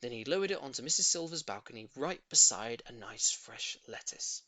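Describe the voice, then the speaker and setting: A man reading a children's story in an expressive, theatrical narrating style. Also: medium pitch, brisk, no echo. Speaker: audiobook reader.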